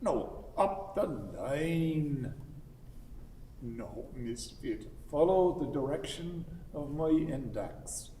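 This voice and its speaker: Fussy, swooping, courteous gentleman. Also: on the high side, animated, recorded from a distance. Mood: pedantic, insistent. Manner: formal, emphatic, deliberate. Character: fussy, courteous gentleman, earnest and soothing, excitable. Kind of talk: stage radio play acting. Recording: echoey hall, background noise.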